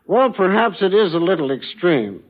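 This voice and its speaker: American man, high pitch for a male, formal, courteous, prepared guest quizmaster talk, narrow tinny 1940s radio sound. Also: sing-song, normal speed. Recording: thin band-limited 1940s radio broadcast.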